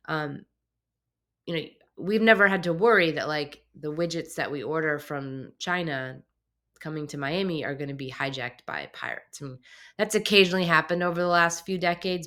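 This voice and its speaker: A woman hosting an explainer show, talking in a conversational, hedging way, a little hoarse and raspy from a cold. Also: low-pitched, fairly bright tone. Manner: conversational, hedging with filler phrases. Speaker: host. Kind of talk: explainer talk to camera. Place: home office.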